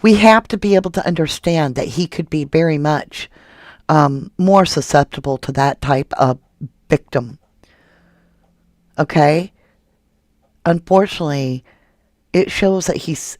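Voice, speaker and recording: A woman's voice: low-pitched, measured pace, darkish tone, no echo. Woman host, indignant true crime commentator, reads chat, dry close sound. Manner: indignant, questioning, rhetorical. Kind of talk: casual live commentary reading viewer comments.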